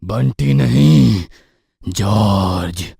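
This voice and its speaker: An actor playing a young man in a horror audio story, haunted by a ghostly lover, with dramatic drawn-out delivery. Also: lowish voice, animated, vocal fry, dark tone, slightly rough, no room sound, clean studio sound, full character voice. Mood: eerie, menacing. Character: frightened young man later possessed by vengeful ghost lover.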